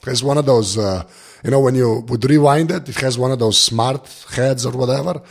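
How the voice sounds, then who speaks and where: A tired man with a gravelly voice chatting casually as a podcast guest, rambling in an informal, self-deprecating way. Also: mid-range voice, moderate pace. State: tired, barely awake. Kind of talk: casual chat.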